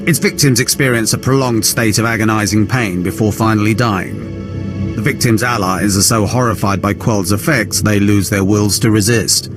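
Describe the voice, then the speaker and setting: A man voices a sadistic dark lord in a game. He is low-pitched, cold and smug, savoring his cruelty in formal speech, with music behind him. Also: steady tone, moderate pace, close to an everyday voice. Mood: gloating cruelty. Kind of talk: video game cutscene dialogue.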